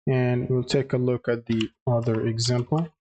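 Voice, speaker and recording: A man narrating a step-by-step software tutorial with hesitant, halting phrasing. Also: medium pitch, little pitch movement, lo-fi sound, slight background noise. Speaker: tutorial presenter.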